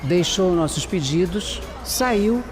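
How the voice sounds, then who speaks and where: A brazilian lady detective, calm n analytical, audio drama, music and street noise behind her. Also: lowish voice, slow pace. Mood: reflective. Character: Shirley, composed, analytical female detective narrating her case. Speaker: lead actress and narrator. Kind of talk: audio drama narration and dialogue. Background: music, urban street ambience with vehicles.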